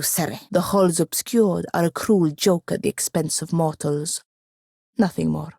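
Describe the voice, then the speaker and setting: Young female wizard apprentice, low creaky voice, sardonic, haughty, precise, fantasy game dialogue. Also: no room sound. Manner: dry, haughty, precise, sharp-tongued. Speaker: video game companion character.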